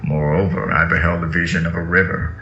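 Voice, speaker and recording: A man with a gravelly voice gives a slow, dramatic, incantatory reading of mystical scripture. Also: sits low, flat delivery, sharp tone. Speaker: reader of sacred texts. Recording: echoey, dull audio under music. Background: music.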